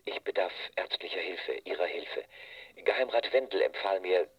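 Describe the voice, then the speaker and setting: A man's voice: deep voice, moderate pace, breathy edge, dry recording. An actor playing an anxious, ailing nobleman asking for help over the telephone in a radio drama. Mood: anxious, requesting. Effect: telephone filter. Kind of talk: German radio drama acting. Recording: dull phone-like filtered line.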